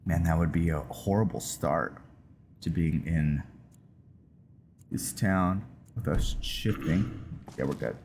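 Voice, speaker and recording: A man casually commenting on his roleplay playthrough of a game, thinking aloud with hesitant pauses, game music behind him. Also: deep voice, a little mellow, a little hiss or hum. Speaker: gamer narrating a roleplay playthrough.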